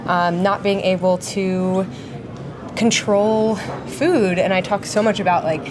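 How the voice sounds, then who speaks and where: Friendly woman, 20s, from Philadelphia, chatty guest, mid pitch, crowd noise behind. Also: fairly even intonation, takes it slowly. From Philadelphia, United States. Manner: friendly, chatty, many fillers. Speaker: guest, registered dietitian. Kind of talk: casual interview conversation. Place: busy conference floor.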